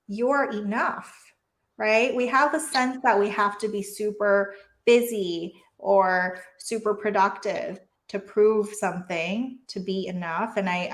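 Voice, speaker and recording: Earnest woman in an echoey room. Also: medium pitch. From Taiwan. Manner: reflective, earnest, with restarts. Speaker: guest, physician and coach. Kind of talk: conversational interview. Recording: roomy echo.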